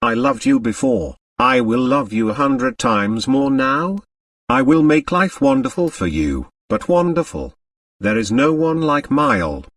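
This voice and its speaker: A man's voice: medium pitch, huge pitch swings, unhurried, creaky, fairly bright tone, no room sound, studio quality, recorded from a distance, full character voice. A man voicing a seductive, possessive foreign woman dancer in an audiobook, coaxing and emphatic. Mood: coaxing, adoring. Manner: dramatic, coaxing, emphatic repetition. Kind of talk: audiobook character acting.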